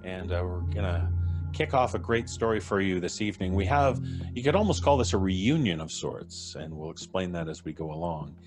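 Deep-voiced man hosting over soft music. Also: animated, fairly quick. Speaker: host. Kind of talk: live-stream introductions. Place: indoor room, on a video call. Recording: soft music playing behind. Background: background music.

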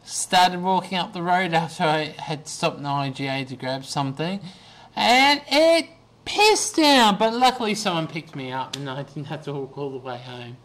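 A man's voice: fairly high pitch, expressive, normal speed, fairly bright tone. A young man in his twenties vlogging about his day on a webcam from a small bedroom, tired and losing his voice, rambling with many ums and restarts. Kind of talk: casual video diary. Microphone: webcam at desk.

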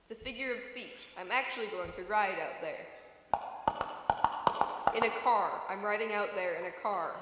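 Woman as deadpan female private eye, radio drama narration, mid-pitched, heavily echoing hall. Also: close to an everyday voice. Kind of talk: old-time radio drama read aloud live. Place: church sanctuary stage. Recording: roomy church hall echo, noisy.

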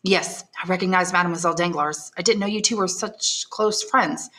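A woman's voice: on the low side, moderate pace, fairly bright tone, natural voice. A woman reading aloud and voicing a devoted young man, earnest and reassuring, close to her own voice. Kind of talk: audiobook character voice.

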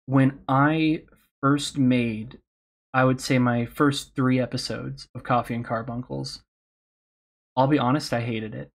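Earnest, slightly hoarse man. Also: mid-range voice, fairly even intonation, a little slow. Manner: earnest, candid, encouraging. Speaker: podcast host speaking on a panel. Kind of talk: advice talk at an online convention panel.

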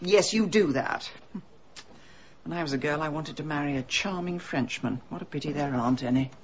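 A woman's voice: sits low, moderate pace, close to an everyday voice. An actress playing a brusque, grumbling middle-aged British woman abroad in a radio drama, sardonic and imperious. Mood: grumbling, impatient. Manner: imperious, sardonic, crisp complaining. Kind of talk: BBC radio drama acting. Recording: dull, slightly noisy radio drama recording.

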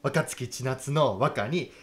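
A man's voice: medium pitch, slow pace, natural voice. A man playing an eager, self-satisfied junior actor in a radio comedy, talking in slangy, over-familiar junior-to-senior speech. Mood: smug. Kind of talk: radio comedy drama acting.

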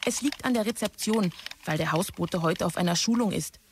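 An actress playing a dutiful, literal-minded office secretary who speaks in matter-of-fact messages in an audio drama. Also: female voice, fairly low pitch, fairly quick, natural voice. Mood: businesslike. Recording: clear office typing noise behind.

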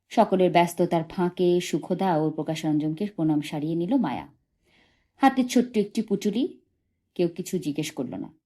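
A woman reads literary fiction as an audiobook narrator. Her voice sits a little low and is very soft-edged and mellow, and her scripted delivery is expressive storytelling. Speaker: audiobook reader.

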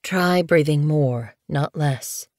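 Kindly male cat healer, measured, lightly wry, lowish, storybook character, voiced by a woman. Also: a little mellow, no echo, studio quality. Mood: calm.

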